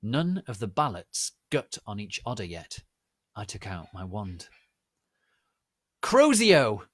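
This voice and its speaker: A man giving a dramatic, deadpan reading of a story in a deliberate, theatrical manner. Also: fairly low pitch, dry recording, clean studio sound. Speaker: reader of a fan fiction story.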